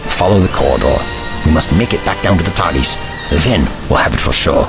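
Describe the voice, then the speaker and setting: A man playing a calm, protective, wry time-travelling adventurer with measured, formal phrasing in an audio drama. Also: low voice, creaky, loud music behind the voice. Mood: wary, wry. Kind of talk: fan audio drama acting.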